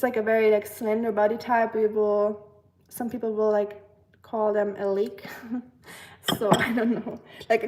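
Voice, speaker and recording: A young woman with a German accent chats with her stream viewers while cooking at home, her voice sitting a little high. She answers chat messages at an easy pace, full of ums and likes, and now and then drifts back from the mic. Faint chopping and clicks are heard behind her in the kitchen. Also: a little mellow, slight background noise, poor audio. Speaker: livestream host.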